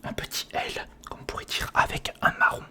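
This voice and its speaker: Whispering man, informal ASMR host. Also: a little fast. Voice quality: breathy, unvoiced whisper. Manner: hesitant, informal, muttering to the listener. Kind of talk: whispered ASMR build-along commentary. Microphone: close recorder microphone.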